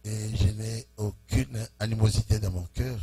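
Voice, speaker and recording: A man pastor greeting a church congregation in French, speaking in short phrases and pausing for his interpreter. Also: fairly low pitch, fairly even intonation, over music. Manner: slow, pausing phrase by phrase for the interpreter, chuckling warmly. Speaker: preaching pastor. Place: church hall.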